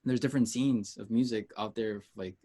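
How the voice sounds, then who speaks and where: Male music artist as interview guest, from the US, lowish voice, informal, rambling and halting delivery. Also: warm tone, husky. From United States, Peruvian heritage. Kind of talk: casual interview chat.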